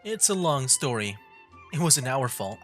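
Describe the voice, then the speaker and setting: A woman's voice: extremely low pitch, sing-song, measured pace, over music. A woman streaming a game with casual, wry, slangy reactions in a laid-back manner.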